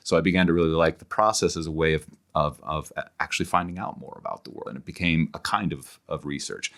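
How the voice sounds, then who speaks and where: A middle-aged man with a deep voice speaks as the guest on a thoughtful podcast. He explains in a reflective, scholarly way, halting and circling back to reword his points. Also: a bit bright. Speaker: interview guest, humanities professor and author. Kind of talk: thoughtful long-form podcast interview.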